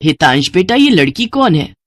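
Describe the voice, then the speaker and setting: A woman's voice: lowish voice, very animated, moderate pace, no room sound. A woman narrator puts on a middle-aged mother-in-law in a storybook-style family drama. She is domineering and scornful, with a taunting, disapproving delivery in a close, dry recording. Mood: outraged.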